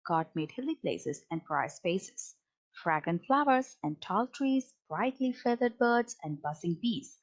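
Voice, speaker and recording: A woman's voice: medium pitch, rough recording. A woman reading a children's Bible story aloud as a storybook narrator. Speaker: storybook reader.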